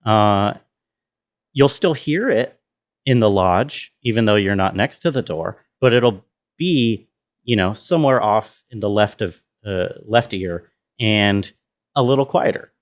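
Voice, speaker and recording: Low-voiced man giving an informal walkthrough. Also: lively intonation, a little slow. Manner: explanatory, step-by-step, often trailing into "you know". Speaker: sound designer presenting a walkthrough.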